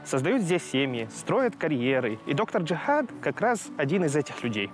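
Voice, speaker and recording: A man's voice: a little high, very animated, moderate pace, over music. A young man in his twenties from Syria hosting the segment, presenting and interviewing in an upbeat way outdoors on a campus street. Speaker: host.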